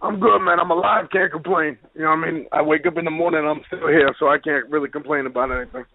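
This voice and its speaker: A man's voice: on the high side, steady tone, quick pace. A man giving a casual interview as a professional wrestler, blunt, streetwise and full of slang, over a phone line. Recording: hissy, noisy, tinny phone line.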